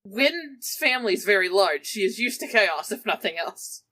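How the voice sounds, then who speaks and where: Lively woman playing a tabletop game. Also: medium pitch, moderate pace. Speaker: player in a tabletop roleplaying game. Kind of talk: casual improvised roleplay narration.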